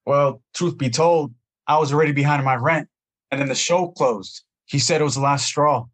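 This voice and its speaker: An actor playing a young, homeless chorus actor from Arizona, earnest and pleading, in an audio drama. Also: male voice, a little high, moderate pace, fairly bright tone. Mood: dejected. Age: young adult. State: shivering from cold.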